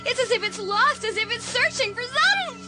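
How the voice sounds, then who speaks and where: An anxious teenage heroine in an audio horror drama. Her voice is high and swings widely, ready to turn indignant or frightened, with music clearly underneath. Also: animated, brisk. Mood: terrified.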